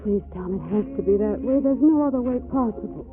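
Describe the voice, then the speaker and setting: An actress playing a flustered, wry wife torn between love and money in an old radio comedy, with an arch, hesitant delivery. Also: female voice, a little high, lively intonation, brisk, natural voice. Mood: resisting, tender. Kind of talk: 1940s radio comedy acting. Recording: tinny old radio broadcast, music behind.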